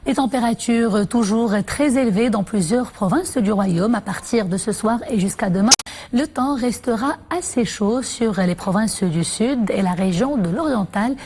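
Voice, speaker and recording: Casting: female TV news anchor, dark warm tone, a little husky, measured and formal. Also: fairly high pitch, animated. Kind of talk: scripted news reading.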